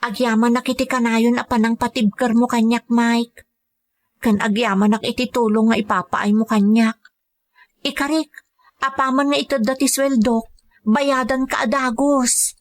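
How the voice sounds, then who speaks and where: A woman's voice: a little high, steady tone, normal speed, no room sound, natural voice. A woman with a Filipino accent playing a patient, dutiful young caregiver working abroad, in earnest, polite scripted drama. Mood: earnest, reassuring. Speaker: voice actor in an audio drama. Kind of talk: scripted drama dialogue and narration.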